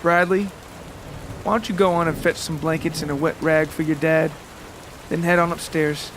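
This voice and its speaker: A man voicing a stern, protective neighbor in a storytelling podcast, giving slow, emphatic warnings. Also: a little high, fairly even intonation, a bit bright, a little hiss or hum. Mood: firm, settled.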